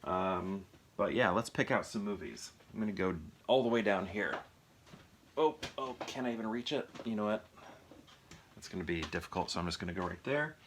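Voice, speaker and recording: A man with a fairly low voice and a bright, crisp-edged tone talks casually to his viewers. He rambles through an impromptu movie review, thinking aloud and often putting questions to himself as he goes. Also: expressive, normal speed, slight background noise.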